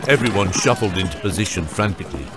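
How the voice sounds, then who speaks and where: Low-voiced male audiobook narrator. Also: lively intonation, fast, heavy background noise. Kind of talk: scripted fantasy audiobook narration.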